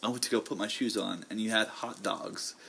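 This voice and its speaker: A man's voice: lowish voice, steady tone, brisk, creaky, crowd noise. A young man co-hosting a podcast in loose, rambling banter, laughing often and talking through fillers and restarts. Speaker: podcast co-host. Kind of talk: casual banter.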